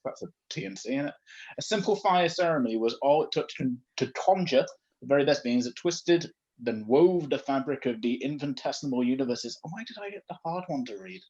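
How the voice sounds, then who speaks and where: A man's voice: mid-range voice, animated, brisk, a little mellow, husky, muffled recording. A man reading a comic story aloud live, playful and self-mocking, often breaking off into chatty asides. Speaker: comedy performer reading fan fiction aloud.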